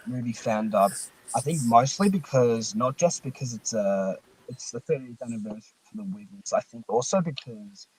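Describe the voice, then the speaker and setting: A man hosting a casual livestream chat, speaking earnestly and haltingly and often repeating himself. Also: mid-range voice, slightly rough. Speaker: podcast host and fan-dub organiser. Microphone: online voice call microphone. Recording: noisy mic with uneven volume and clicks.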